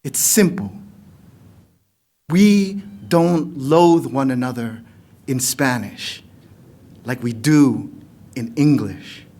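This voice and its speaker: A man's voice: medium pitch, slow pace, long pauses, a little mellow. A middle-aged man with an American accent, a poet speaking expressively to an audience at a public reading. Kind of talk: casual introduction and poetry reading to an audience. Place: indoor lecture room, at a podium. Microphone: podium gooseneck microphone.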